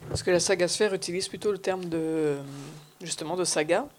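A woman's voice: lowish voice, steady tone, moderate pace, slight background noise. A woman co-hosting a panel, talking casually and putting points to the guests. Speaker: panel co-host.

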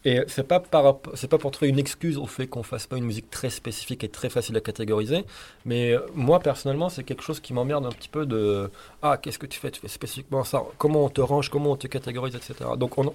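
Homme, langue maternelle turque, musicien de rock interviewé, réfléchi, tranché, hésitant, voix de hauteur moyenne. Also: male voice, mid-range voice, fast talker, vocal fry, a little mellow. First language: Turkish. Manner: thoughtful, opinionated, frequent hesitations. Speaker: rock band singer and guitarist being interviewed. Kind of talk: casual interview answers.

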